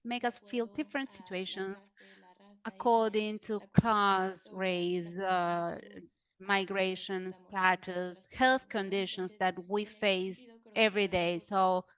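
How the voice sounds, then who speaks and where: A woman interpreting a speaker live into English during a webinar. She pauses and repeats words as she keeps pace, then goes on in a steady, even tone. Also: mid-range voice, low-quality recording. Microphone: video call.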